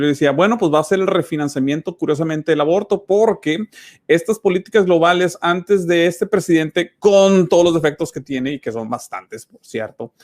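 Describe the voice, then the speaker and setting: A man hosting a talk show, speaking in a plain-spoken, conversational way and often cutting in. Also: fairly high pitch. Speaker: host. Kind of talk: interview commentary.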